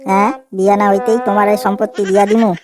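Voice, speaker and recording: A woman's voice: mid-range voice, level tone, rapid-fire, over music, lightly acted. A woman voicing a young boyfriend in a Bengali comedy cartoon, placating yet cheeky, a sly wisecracker.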